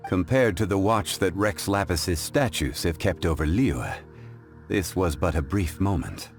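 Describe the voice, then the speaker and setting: An actor playing a calm, courteous, scholarly gentleman in a video game, speaking in a measured, formal, contemplative way. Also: deep voice, faint music behind. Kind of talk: English video game voice acting.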